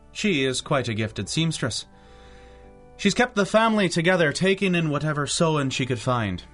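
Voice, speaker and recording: Male actor for parish priest in radio play: medium pitch, earnest, concerned, faint music beneath. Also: a bit bright, natural voice. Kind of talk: radio drama acting.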